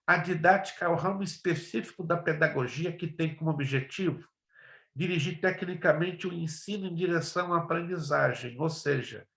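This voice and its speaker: A man's voice: a little high, creaky, fairly bright tone. A man from Brazil with Spanish as his native language and a Brazilian accent, teaching like a preacher, emphatic and exhorting, repeating phrases and putting questions to his listeners. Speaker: pastor teaching a theology class. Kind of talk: preacherly lesson with personal testimony. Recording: roomy, echoing sound.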